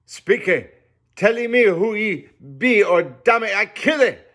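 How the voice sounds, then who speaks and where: A fierce harpooner barks threats in short, broken bursts, his voice pushed high and loud, as a male reader puts him on. The growl is hard and forceful, with a sharp, bright edge. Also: expressive, slow, exaggerated voice. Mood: menacing.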